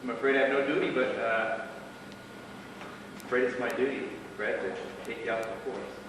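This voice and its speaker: A man's voice: medium pitch, fairly even intonation, normal speed, lightly acted. A man on stage playing a blunt police supervisor with a dry, dismissive air. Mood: official, reluctant. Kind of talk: live amateur stage play acting. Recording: echoey hall from far off, noisy.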